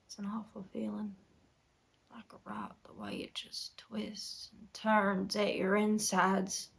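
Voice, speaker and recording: A woman's voice: mid-range voice, level tone, slow, gravelly. A woman acting a desperate, tormented young farm wife in an intense dramatic film monologue. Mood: hushed, fragile. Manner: intense, swinging from whispers to anguished outbursts. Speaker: acting student.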